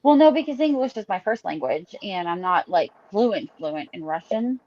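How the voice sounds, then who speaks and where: A woman's voice: mid-range voice, animated, moderate pace, darkish tone, no room sound. Chatty woman on a dull mic. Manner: chatty, laughs easily, suggests ideas. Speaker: podcast co-host. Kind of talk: casual podcast chat.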